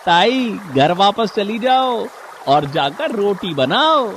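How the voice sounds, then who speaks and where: A man's voice: high-pitched, sing-song, slow pace, fairly bright tone, slight background noise, heavily acted. A man voicing a cocky young fisherman in a cartoon story, with a taunting, teasing manner. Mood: mocking.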